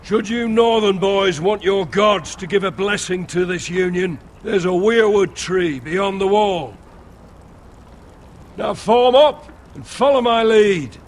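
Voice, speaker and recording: An actor playing a solemn, commanding officer who formally addresses recruits in a fantasy video game. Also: male voice, high-pitched, slight background noise. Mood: authoritative. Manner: formal, measured, declamatory.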